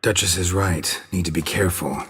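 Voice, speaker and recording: An actor playing a gruff monster hunter in a video game, gravelly and laconic, with a deadpan delivery. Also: male voice, sits low, moderate pace, full character voice. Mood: matter-of-fact. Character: gruff, terse, dry northern monster hunter. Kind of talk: scripted video game dialogue.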